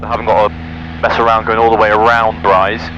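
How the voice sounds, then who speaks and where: A man's voice: lowish voice, level tone, fairly quick. A man flying as a private pilot, making radio calls and talking methodically through his cockpit checks over a headset. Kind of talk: radio calls and self-talk cockpit commentary. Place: small light aircraft cockpit in flight. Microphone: aviation headset. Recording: dull cockpit intercom, loud engine noise.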